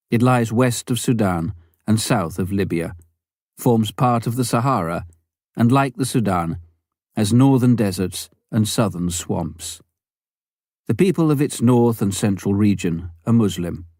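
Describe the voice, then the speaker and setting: Low-voiced man reading a memoir. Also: a little mellow, no room sound, studio quality. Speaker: audiobook reader. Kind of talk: scripted narration of a memoir.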